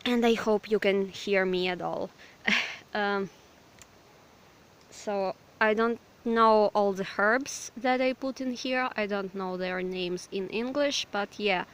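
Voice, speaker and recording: A hoarse, slightly sick young woman from Ukraine with a Ukrainian accent, chatting hesitantly and apologetically in a forest. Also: mid-range voice, fairly even intonation, takes it slowly, a little hiss or hum. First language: Russian. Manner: hesitant, apologetic, lots of fillers. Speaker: vlogger. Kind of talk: casual rambling chat. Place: outdoors in a forest.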